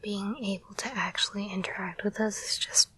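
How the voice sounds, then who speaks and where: A woman playing an affectionate, playful girlfriend and caretaker in an intimate roleplay audio, speaking in a soft, breathy whisper with a gentle, hesitant murmur. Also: medium pitch, level tone, brisk. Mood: reassuring, pleased.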